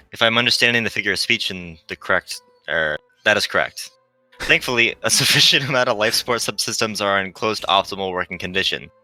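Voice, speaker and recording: A man's voice: on the low side, bright, forceful tone, no room sound, rough recording. A man voicing a polite, eager-to-please female android navigator, formal, literal and hesitant, in a visual novel reading. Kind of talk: visual novel read aloud. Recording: dry close mic, background music.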